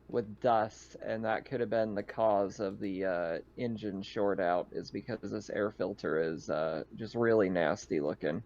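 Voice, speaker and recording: A man running a tabletop roleplaying game as game master, conversational and halting as he thinks aloud. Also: lowish voice, a little mellow, highs cut off.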